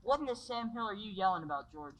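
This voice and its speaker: Casting: performer doing a blunt, puzzled town cop, bright tone. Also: mid-range voice, expressive, fast, lightly acted, rough recording.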